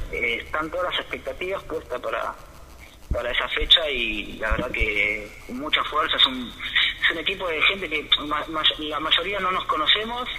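A man from Buenos Aires, a guest on the phone, talking in a halting, repetitive way with many restarts. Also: a little high, bright, forceful tone, a little hiss or hum, low-quality recording. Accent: Buenos Aires Spanish. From Argentina. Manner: halting, repeats words, grateful. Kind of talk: casual phone interview.

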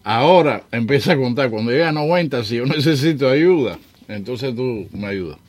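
A man's voice: medium pitch, lively intonation, moderate pace. An older man, hosting a radio talk show and telling stories with a joking, laughing manner. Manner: jokey storyteller, laughs often. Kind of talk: casual radio chat.

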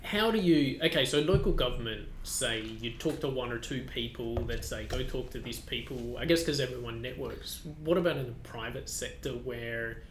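A man's voice: mid-range voice, normal speed, hardly any pauses. A man hosting a podcast, asking long, chatty questions that trail on in a casual interview. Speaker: podcast host. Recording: echoey room with faint background hum.

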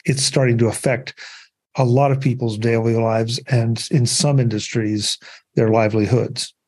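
A man's voice: medium pitch, steady tone, normal speed, darkish tone, slightly rough. A man hosting a casual panel discussion, talking in a rambling, conversational way with many fillers. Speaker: podcast host.

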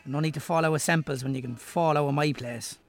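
A man's voice: fairly high pitch, steady tone, fast talker, natural voice. An actor playing an easygoing, helpful countryman with an Irish accent in a naturalistic radio drama. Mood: friendly, matter-of-fact.

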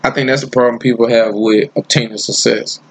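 A man's voice: medium pitch, level tone, brisk, slightly rough, poor audio. A man from South Carolina, talking bluntly and profanely in a casual podcast chat. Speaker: podcast co-host.

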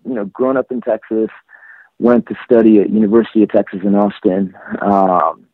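A man from Iran talks through his career in a relaxed, halting way, often restarting his thoughts. His voice sits low and comes through thin and tinny, like a phone call. The sound is dry, with no room around it. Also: dry recording. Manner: reflective, halting, many restarts and fillers. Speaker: photographer guest. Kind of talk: casual interview conversation.

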